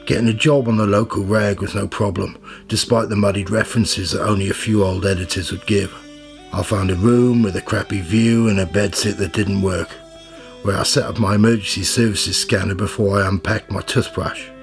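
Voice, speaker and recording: A man's voice: lowish voice, steady tone, normal speed, vocal fry, soft background music. A man in his fifties playing a cynical, world-weary journalist in a radio play, with a wry, dry manner. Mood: wry, jaded. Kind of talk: radio play narration and dialogue.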